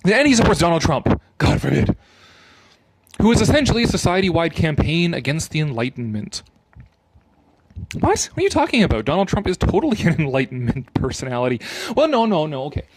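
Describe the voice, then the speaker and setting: A man doing a dramatic reading with off-the-cuff commentary, animated and sarcastic in his manner. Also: a little high, fairly quick, a little hiss or hum, rough recording.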